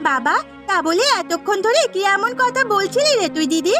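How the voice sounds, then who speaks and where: A woman's voice: very high voice, lively intonation, normal speed, music in the background, voice pushed up. A woman voicing an anxious, whiny young village girl, a fretful little sister in a cartoon story. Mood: fretful scolding. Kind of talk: Bengali cartoon story acting.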